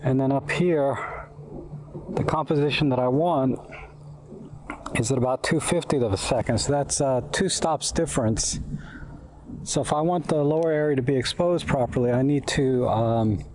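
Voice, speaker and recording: A man's voice: medium pitch, normal speed, long pauses, a little mellow, slight background noise. A middle-aged man talking casually outdoors while he works through his camera settings and explains them as he goes. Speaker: photography vlogger. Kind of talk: casual talk on a photo walk. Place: outdoors in a park by the water, and in a car.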